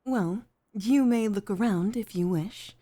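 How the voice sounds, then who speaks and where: A woman playing a poised, gentle head maid off duty in an intimate ASMR roleplay, speaking with formal, measured politeness. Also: medium pitch, natural voice. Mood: gentle, welcoming.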